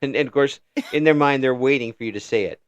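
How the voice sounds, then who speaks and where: A man hosting a casual remote interview, agreeable and quick to laugh, often restarting his sentences. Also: medium pitch, no room sound. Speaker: interview host. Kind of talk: casual conversation. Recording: dull lo-fi call audio.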